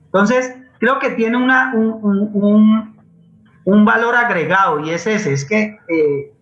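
Colombian male guest, high-pitched and bright, earnestly explaining with hesitant repetitions. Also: slow pace. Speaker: interview guest, playwright and community theater maker. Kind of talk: conversational interview answer. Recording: dull, echoey remote-sounding audio.

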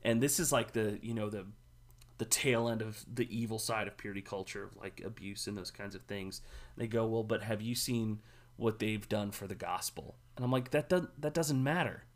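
A man co-hosting a casual church discussion, speaking in an earnest, emphatic way and rising in pitch to stress his points. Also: normal speed. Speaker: podcast co-host, minister.

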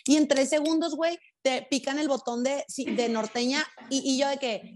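A woman's voice: high-pitched, a little hiss or hum. A woman from Sinaloa, Mexico, with a Sinaloan accent, talking frankly and with lots of slang in a casual conversation. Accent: Sinaloan Mexican Spanish. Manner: fast, animated, slangy and frank.

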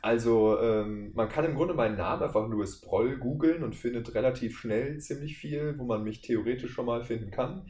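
A man's voice: medium pitch, normal speed, few pauses, mellow. A man speaking casually as a podcast guest, giving hesitant, meandering answers. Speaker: guest, voice actor and audio drama maker. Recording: roomy, distant mic, faint noise.

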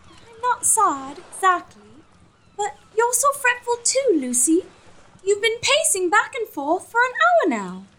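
A woman playing a lovestruck, gently chiding young maiden in a gothic comedy audio drama, with theatrical old-fashioned diction. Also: extremely high pitch, huge pitch swings, measured pace, heavily acted.

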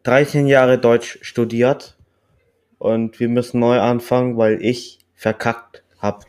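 Halting young man from Japan. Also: medium pitch, level tone, darkish tone. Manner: halting, searching for words. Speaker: podcast guest. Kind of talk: casual podcast chat.

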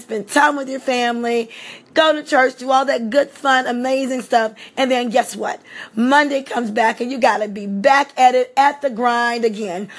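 A high-pitched woman with a slightly hoarse voice. She delivers a fervent motivational pep talk with repetition and bursts of shouting. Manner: energetic, preachy repetition, occasional shouting. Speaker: motivational speaker.

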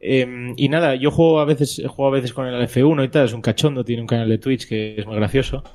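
Relaxed, hesitant Spanish-speaking man. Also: medium pitch, a little fast. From Spain. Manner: relaxed, hesitant, many fillers. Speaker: guest. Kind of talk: casual podcast chat.

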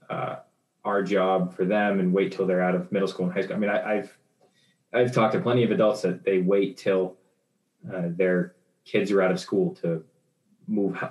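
A man's voice: sits low, a little mellow. A man in his twenties talking in a rambling casual discussion, with many fillers and restarts. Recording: roomy echo.

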